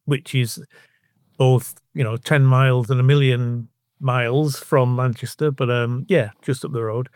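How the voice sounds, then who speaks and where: A man's voice: mid-range voice, steady tone, unhurried, darkish tone, no echo. Mature Northern English man, dry close sound. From Bolton, North West England. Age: middle-aged or older. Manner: discursive, often restarting sentences. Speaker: podcast guest, author. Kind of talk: casual conversational interview answers.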